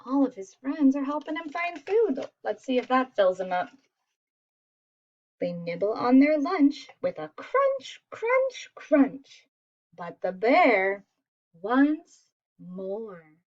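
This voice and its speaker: A woman reading a children's picture book aloud as an expressive storyteller who draws listeners in. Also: high voice, takes it slowly, dull audio. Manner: expressive, asks listeners questions.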